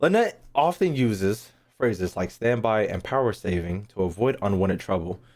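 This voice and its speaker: A man's voice: on the low side, animated, normal speed, darkish tone. A young man giving casual livestream game commentary, slangy and profane, with playful rants. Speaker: game streamer.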